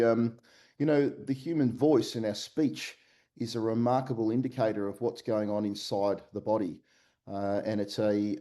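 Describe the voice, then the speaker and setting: A man with an Australian accent, a company CEO answering podcast interview questions remotely, halting often with fillers and restarts. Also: fairly low pitch, warm tone. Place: remote call from Melbourne.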